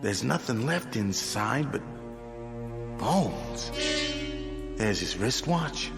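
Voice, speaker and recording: An actor playing a plain-spoken member of a gang of thieves in a radio drama. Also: male voice, mid-range voice, very animated, drawn-out words, gravelly, over loud music, heavily acted. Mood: grim.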